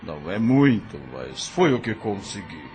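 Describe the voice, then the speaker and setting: A man's voice: big pitch swings, darkish tone. A man playing a grave, kindly helper. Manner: slow, grave, low-spoken. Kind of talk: audio drama acting. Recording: faint music underneath.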